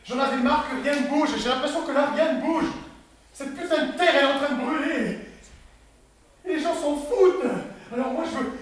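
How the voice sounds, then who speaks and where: A man playing a hotheaded activist, high-pitched voice, echoey stage heard from afar. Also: normal speed, distant mic. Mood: enraged. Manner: bursts into shouting rants. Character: frustrated young male activist, hotheaded. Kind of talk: live improv theatre. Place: theatre stage.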